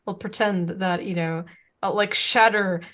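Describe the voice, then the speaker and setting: A woman's voice: medium pitch, fairly even intonation, unhurried, a bit bright. A woman co-hosting a podcast in casual chat, rambling with many restarts, whose first language is Serbo-Croatian. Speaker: podcast co-host.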